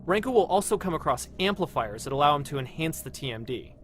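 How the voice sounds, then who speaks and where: A man's voice: animated, fast talker, fairly bright tone, faint music behind. A man presenting a game walkthrough as its producer, in scripted demo narration. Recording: noisy low-quality promotional video audio.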